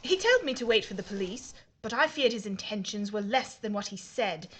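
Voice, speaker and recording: A woman's voice: medium pitch, expressive, a little fast, breathy edge. An actress playing a haughty, fearless aristocratic lady reporter in a period audio drama, with a British accent and a wry, formally articulate manner. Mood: indignant.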